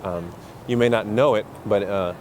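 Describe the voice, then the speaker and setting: A man's voice: fairly low pitch, normal speed, darkish tone. A man talking casually as he walks and explains things as an English teacher, full of uh and you know. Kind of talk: casual walking vlog. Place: busy city streets outdoors. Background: faint street and traffic noise.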